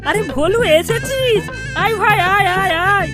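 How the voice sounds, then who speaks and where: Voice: extremely high pitch, expressive, moderate pace, over loud music. A performer voicing a brash, scheming young male prankster in a comedy cartoon, bossy, teasing and slangy.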